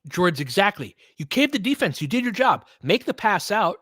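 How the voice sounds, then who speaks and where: A man's voice: high-pitched, very animated, moderate pace, a bit bright, slightly rough, no room sound, studio quality. A man doing casual basketball play-by-play, mixing calls of the action with opinionated, conversational analysis and coaching the players aloud. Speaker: fan play-by-play commentator.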